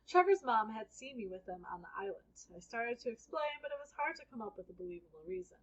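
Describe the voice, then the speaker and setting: A woman reading a children's book aloud as an expressive first-person storyteller. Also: fairly high pitch, fast, highs cut off.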